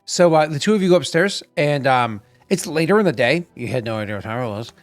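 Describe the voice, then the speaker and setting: A man's voice: on the high side, animated, quick pace, no echo, soft background music. A man running a tabletop game as game master, rambling with many fillers and restarts on a home microphone.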